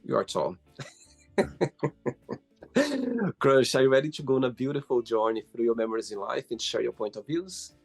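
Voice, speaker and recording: Male interview host, gravelly, husky, encouraging, casual, interested exclamations. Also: mid-range voice, moderate pace, long pauses, soft background music.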